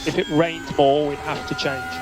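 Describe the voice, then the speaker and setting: A man's voice: on the high side, flat delivery, moderate pace. Male race engineer on very noisy team radio. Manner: clipped, repeats codes and instructions. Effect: team radio transmission. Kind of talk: terse radio instructions and updates. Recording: loud engine noise, team radio link.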